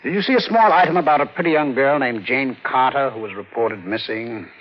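An actor playing a grave, official but kindly police chief in an old-time radio drama. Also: male voice, medium pitch, natural voice. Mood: grave, confiding. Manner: official, deliberate, confiding. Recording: dull, muffled old radio recording.

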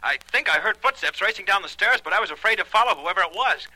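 An edgy young office man on a telephone in vintage 1940s radio drama. His voice is high, very sharp and quick, with an urgent, clipped delivery. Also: expressive, exaggerated voice, low-quality recording. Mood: urgent, shaken. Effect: telephone filter. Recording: vintage radio, filtered phone voice.

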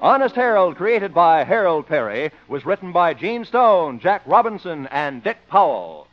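Male American announcer, high animated voice, polished and emphatic, vintage radio commercial style, muffled old recording. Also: unhurried. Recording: dull old radio broadcast with music.

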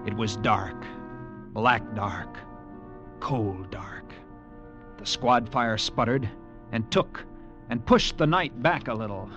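An actor playing an earnest, uneasy young cavalry lieutenant, telling his story in measured, literary tones for a radio drama. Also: on the low side. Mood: tense, brooding. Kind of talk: dramatic first-person narration, radio drama acting. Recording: old radio broadcast, music behind.

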